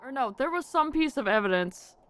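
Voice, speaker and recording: A woman's voice: sits high, lively intonation, fairly quick, a bit bright. A woman live-streaming a game, musing aloud to herself and reading out dialogue as she plays. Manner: muses aloud to herself while playing. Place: indoors, live stream setup.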